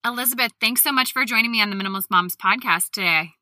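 A woman's voice: on the high side, fast, pushed and bright, no room sound, studio quality, soft background music. A woman hosting a parenting podcast, reading her intro, then interviewing a guest over a video call. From Ohio, USA. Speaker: podcast host.

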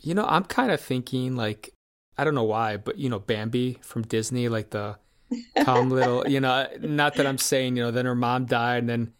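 A talk-show host asks questions in a relaxed, rambling way, leaning on filler words. The voice sits at a middling pitch and keeps an easygoing, conversational tone. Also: moderate pace, smooth. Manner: loose, chatty, many "you know" and "like" fillers. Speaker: interview host. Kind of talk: casual interview chat.

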